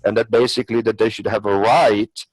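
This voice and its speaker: A man's voice: on the low side, little pitch movement, brisk, husky, no echo, poor audio. Rambling man, non-native English speaker. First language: not English. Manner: rambling, repetitive argument with hypothetical examples. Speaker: panelist. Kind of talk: unscripted opinionated discussion.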